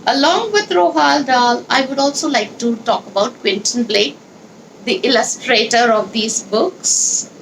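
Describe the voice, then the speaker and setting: A woman leading a children's read-along, speaking in an instructional, deliberate, explanatory way with pauses. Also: on the high side, creaky, fairly bright tone, a little hiss or hum, rough recording. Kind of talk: instructional talk and storybook read-aloud.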